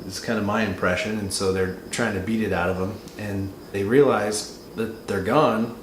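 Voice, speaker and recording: A man discussing a film casually, explaining and thinking aloud in a halting, conversational way. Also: lowish voice, steady tone. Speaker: co-reviewer answering and explaining. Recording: roomy echo with faint background noise.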